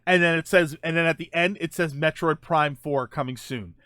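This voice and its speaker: A man hosting a livestream, chatting casually with viewers in a halting way, often restarting his phrases. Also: on the high side, sharp tone, no echo, clean studio sound. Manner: halting, repeats phrase openings. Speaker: livestream host. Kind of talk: casual chat with live viewers, gaming and film talk.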